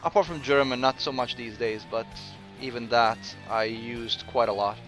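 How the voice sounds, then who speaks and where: A man from Croatia with a mixed Croatian accent, chatting casually while streaming a game and answering viewers, with frequent pauses. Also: mid-range voice, fairly even intonation, a bit bright, soft background music, rough recording. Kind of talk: casual gameplay commentary.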